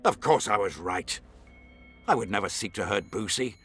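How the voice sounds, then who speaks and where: A proud, scheming lord from a fantasy game, with a fairly low voice. He talks formally and imperiously, with stern measured authority. Faint music sits behind him. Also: expressive, creaky, bright, forceful tone, slightly rough, exaggerated voice. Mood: proud, confiding. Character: haughty, scheming male nobleman, stern and formal. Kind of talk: video game voice acting.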